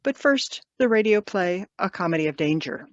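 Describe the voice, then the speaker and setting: A woman announcer giving a formal, scripted introduction to radio plays in a measured, declarative manner. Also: medium pitch, animated, dry recording. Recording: dry, slightly dull lo-fi audio.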